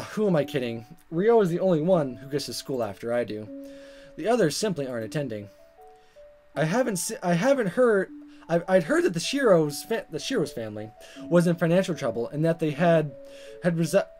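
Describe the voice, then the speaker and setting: A man reading a visual novel aloud as a let's player, in expressive narration with casual asides and stumbles that he corrects. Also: on the high side. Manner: animated, stumbles over words and corrects himself.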